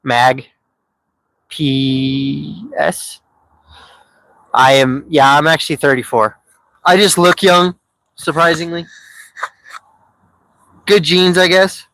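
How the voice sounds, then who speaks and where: A man's voice: on the high side, steady tone, takes it slowly, creaky, pauses often, pushed and bright, dry recording, rough recording. A man in his thirties telling his own story on a live stream, candid and rambling, with many fillers and restarts. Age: 30s.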